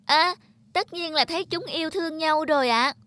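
A woman's voice: high-pitched, moderate pace, pushed and bright, no echo. A woman playing a stubborn young country girl with a childlike manner in a cartoon folk tale. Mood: pleased. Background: prominent background music.

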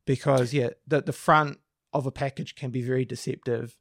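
A man with a croaky, gravelly voice talks at length on a casual podcast, often restarting his sentences. The recording is dry and close, with no room echo. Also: medium pitch, steady tone, moderate pace. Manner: talkative, explains at length with many restarts. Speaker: podcast co-host. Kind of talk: casual discussion.

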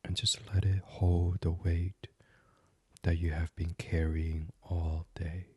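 A very low-voiced man leads a sleep meditation in an ASMR style. His voice is heavily breathy and husky, often close to a whisper. He speaks slowly and soothingly, pausing between short phrases. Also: little pitch movement, no echo. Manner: soothing, hushed, gentle, with many pauses. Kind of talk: guided sleep meditation, soft ASMR reading.

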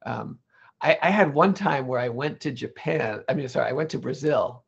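A man's voice: mid-range voice, expressive, moderate pace, creaky, slightly rough, dull audio. A man being interviewed about his career, talking in a thoughtful way with many restarts and self-corrections. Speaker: interview guest, legal English lecturer. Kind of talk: relaxed conversational interview.

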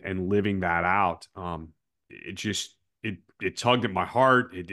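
A man with a fairly low voice hosts an interview podcast. He talks conversationally and earnestly, with a motivational, almost preacherly way of making his points. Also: sharp tone. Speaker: podcast host and coach.

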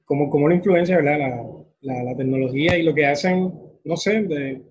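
A young man with a husky voice, chatting casually and effusively as he interviews someone. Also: on the high side, fairly quick, darkish tone.

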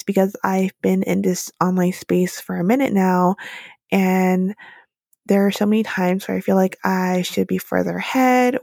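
Vocal-fry woman in her late twenties. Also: mid-range voice, a little mellow, no room sound, clean studio sound. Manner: chatty, candid, lots of "like" and "um" fillers. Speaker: podcast host. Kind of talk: solo podcast monologue, personal reflection.

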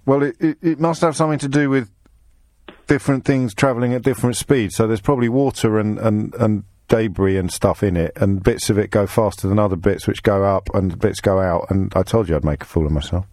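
A middle-aged English man hosting a radio phone-in from a studio, bantering quickly and mocking himself. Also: lowish voice, darkish tone, no room sound. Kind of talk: casual phone-in chat. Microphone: studio microphone.

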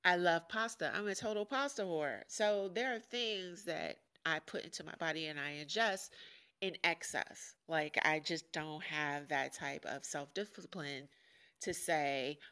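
Forties woman, deep nasal voice, low but bright and forceful, frank jokey podcast chat. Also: measured pace, smooth. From Chicago, USA. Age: 40s. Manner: frank and joking, laughs often. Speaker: podcast host. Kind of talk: casual candid monologue.

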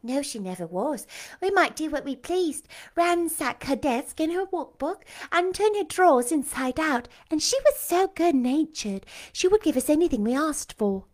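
A woman reading aloud, voicing a light-hearted young lady who speaks in a soft, breezy way. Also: sits high, big pitch swings, normal speed, pitched above the performer's own voice.

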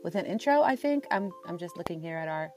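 A woman from Sudan moderates a live audio chat in a conversational style. Her voice sits a little low, and she speaks informally and affirmingly, with faint music behind her. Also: lively intonation, quick pace, darkish tone. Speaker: panel moderator, journalist and podcast host. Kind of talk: conversational live panel hosting.

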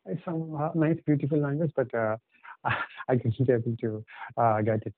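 A man's voice: medium pitch, normal speed, dry recording. Hesitant Indian English man over phone line. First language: Telugu. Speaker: podcast guest. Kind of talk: casual interview, telling travel stories. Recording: dry, tinny phone-like line.

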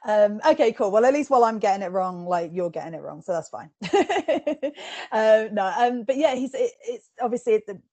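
A woman's voice: a little high, expressive, moderate pace, darkish tone, dry recording. A woman hosting an interview, talking casually with frequent giggles, fillers and restarts over a remote call. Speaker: podcast host interviewing an author.

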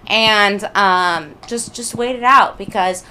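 Young woman chatting, bright, forceful voice. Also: mid-range voice, slow pace, a little hiss or hum, poor audio. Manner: jokey, animated, informal. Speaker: podcast guest and occasional co-host. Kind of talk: casual comedic podcast chat.

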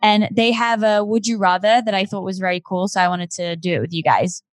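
A young woman hosting a casual podcast chat, breezily firing off quick questions. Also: mid-range voice. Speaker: podcast host.

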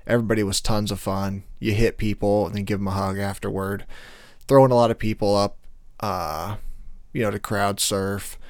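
A male podcast host with a slightly hoarse voice, talking casually in a rambling way with many fillers. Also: lowish voice, steady tone, normal speed, darkish tone, no echo, studio quality. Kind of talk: casual solo podcast intro.

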